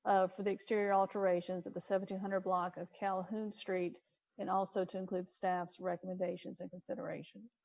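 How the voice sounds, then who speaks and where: Woman, medium pitch, commission member, formal meeting talk, reading aloud, muffled lo-fi online audio. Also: level tone, brisk, creaky, a little mellow. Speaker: commission member making a motion.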